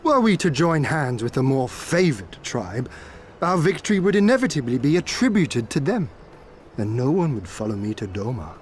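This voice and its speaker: An actor playing an earnest, resolute young prince who speaks in a formal, archaic, measured way. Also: male voice, mid-range voice, very animated, a little hiss or hum, close to an everyday voice. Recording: game soundtrack under the voice.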